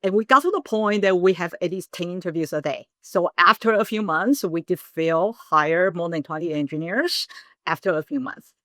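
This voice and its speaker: Low-voiced woman founder. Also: normal speed, no echo, studio quality. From Taiwan. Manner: earnest storytelling, animated in bursts. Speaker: guest, startup founder. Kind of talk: casual interview talk.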